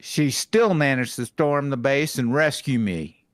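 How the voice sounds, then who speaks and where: A man's voice: medium pitch, unhurried, no room sound, clean studio sound. A man in casual comic group banter, reading bits of fan fiction aloud. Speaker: fan fiction reader on a comedy show.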